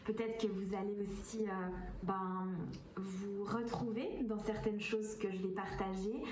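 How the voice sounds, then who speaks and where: A woman with a Swiss French accent speaks casually to her viewers, pausing often on filler sounds. Her voice sits at an ordinary middle pitch. The sound is roomy and a little distant, with a faint hiss behind her. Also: darkish tone. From Switzerland. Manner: hesitant, many filler sounds, enthusiastic. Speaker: vlogger and coach. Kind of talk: casual vlog talk to camera.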